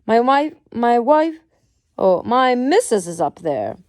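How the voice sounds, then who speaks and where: A woman's voice: on the high side, big pitch swings, slow, darkish tone, pitched above the performer's own voice. A woman voicing a desperate, poor husband from a storybook, pleading urgently with an attempted Irish accent. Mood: urgent begging.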